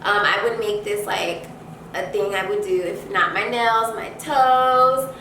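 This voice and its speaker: A congested young woman giving a casual motivational talk to viewers, conversational and halting, repeating and rephrasing points for emphasis. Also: medium pitch, fairly even intonation, bright, forceful tone. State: congested from allergies. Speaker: host of a self-care advice channel. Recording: echoey room, distant mic, noisy background.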